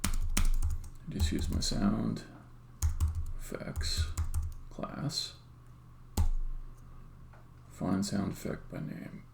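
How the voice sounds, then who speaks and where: A game developer live-streaming code, thinking aloud casually in halting, muttered fragments. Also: sits low, steady tone, gravelly. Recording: roomy echo, faint background noise. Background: computer keyboard typing and clicking.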